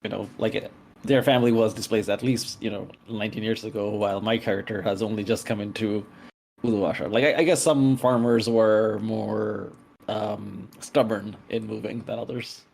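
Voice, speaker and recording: A man's voice: fairly low pitch, steady tone, normal speed, vocal fry, darkish tone, husky. A man chatting casually in a group as a player in a tabletop roleplaying show.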